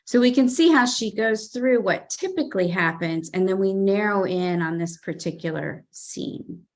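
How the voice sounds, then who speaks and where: A woman's voice: mid-range voice, moderate pace. A middle-aged woman presenting a writing workshop over a video call, earnest and enthusiastic as she reads excerpts aloud. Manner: earnest, enthusiastic about examples.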